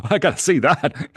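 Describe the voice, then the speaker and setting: A middle-aged man from Canada, co-hosting a podcast and telling animated, rambling travel stories with frequent chuckles. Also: a little high, slightly rough, no room sound. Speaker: podcast co-host. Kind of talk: casual chat, travel storytelling.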